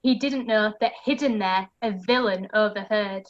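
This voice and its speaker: A woman playing a cheeky young lad who narrates a pantomime in lively rhyming verse. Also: mid-range voice, normal speed, highs cut off, lightly acted. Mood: storytelling.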